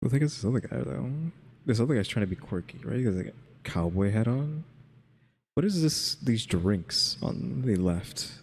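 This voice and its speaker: A man's voice: lowish voice, lively intonation, normal speed, a little mellow, slightly rough, big-room sound. A man giving casual reaction commentary, rambling and thinking aloud as he speculates.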